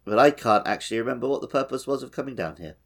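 A man's voice: mid-range voice, expressive, racing, fairly bright tone. A man co-hosting a podcast, casually discussing a video game. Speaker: podcast co-host.

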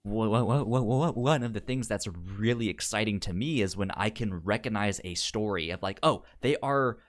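A man co-hosting a podcast, chatting casually about films in a halting way, often stammering on the starts of words. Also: on the low side. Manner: halting, stammers repeatedly on word beginnings. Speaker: podcast co-host. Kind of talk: casual film discussion chat.

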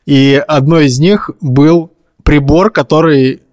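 A man's voice: fairly high pitch, steady tone, slow, a little mellow, no echo, clean studio sound. A man co-hosting a casual podcast, telling stories in an informal, slangy way. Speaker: podcast co-host. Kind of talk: casual podcast chat, storytelling.

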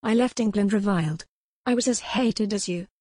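A woman's voice: mid-range voice, moderate pace, warm tone, no room sound, lightly acted. A proud, defiant young noblewoman, as a woman narrator gives her voice, sounding spirited and insistent. She speaks in a dry, close recording with no room sound. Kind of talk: audiobook character voice.

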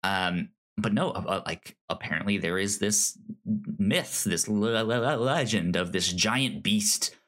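A man co-hosting a casual film discussion podcast, speaking haltingly and often stammering over repeated syllables. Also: lowish voice, fairly bright tone. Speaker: podcast co-host.

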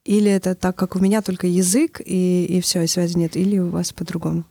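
A woman's voice: lowish voice, level tone, fast, gravelly, dark tone, dry recording. A woman from Estonia hosting a podcast, speaking earnestly and a little hesitantly, asking open questions. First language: Russian. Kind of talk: podcast conversation.

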